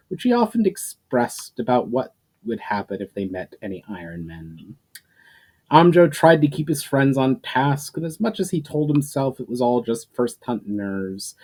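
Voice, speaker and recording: A man narrates an adventure story in a dramatic reading. His voice sits in a middle register, and his delivery is expressive and deliberate, like storybook narration read aloud. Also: mid-range voice. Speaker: author reading their own story aloud.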